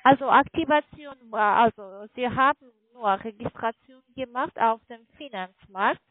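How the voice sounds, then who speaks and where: A woman telemarketer with a foreign accent, not a native German speaker, making an insistent, halting phone sales pitch and often checking for agreement. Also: no room sound. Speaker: call centre telemarketer selling online trading. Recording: muffled phone line, fluctuating microphone volume.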